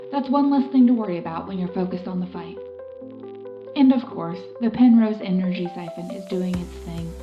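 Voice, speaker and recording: Female actor as upbeat wartime radio correspondent, brisk scripted news read, echoey, over music. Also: mid-range voice, lightly acted.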